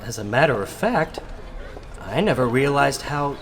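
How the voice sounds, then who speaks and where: A man voicing an earnest, downcast teenage boy, sheepish and expressive, in a comic book reading. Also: mid-range voice, measured pace. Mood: wistful surprise.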